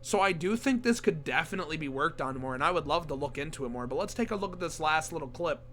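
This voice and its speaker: A hoarse young man with a cold hosts a casual reaction video, chatty and quick to swear when surprised. His voice sits high and bright. A faint hiss runs behind him. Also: lively intonation.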